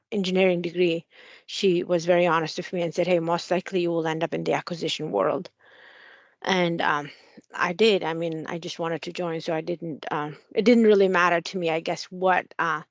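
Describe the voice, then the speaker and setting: A woman's voice: lowish voice, no room sound. Woman, Mongolian accent, 40s, earnest, many fillers, slightly lo-fi. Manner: frequent fillers, friendly and earnest. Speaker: Air Force officer. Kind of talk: interview answers.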